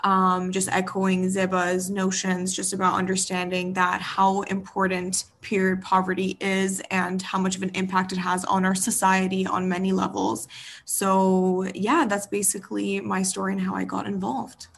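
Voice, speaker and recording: A woman's voice: mid-range voice, monotone, moderate pace, vocal fry. A woman being interviewed over a video call, speaking earnestly with frequent "um"s. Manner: earnest, filler-heavy. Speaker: associate director of a nonprofit. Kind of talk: interview guest.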